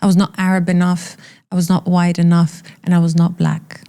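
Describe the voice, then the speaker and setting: A woman's voice: on the low side, completely flat delivery, fairly quick, mellow, smooth. A woman in her thirties, originally from Iran, speaking candidly and with emphatic repetition as an interview guest. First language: Persian.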